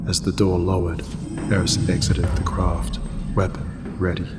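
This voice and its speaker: Deep, even male narrator in long reverb. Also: a little slow. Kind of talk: cinematic scripted narration. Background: cinematic music.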